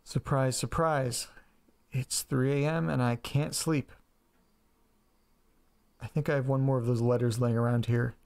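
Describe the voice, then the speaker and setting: A man's voice: mid-range voice, creaky, a little mellow. A man acting as an anxious, chatty young man in an audio drama, rambling and hesitant in a casual, naturalistic way. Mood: tired, musing. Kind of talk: naturalistic first-person audio drama, talking to himself.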